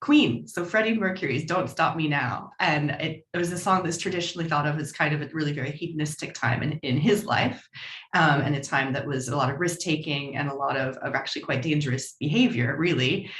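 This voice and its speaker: A middle-aged woman, a senior research fellow, introducing herself at a roundtable with a warm, enthusiastic manner and some restarts. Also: low-pitched, fairly quick, roomy sound.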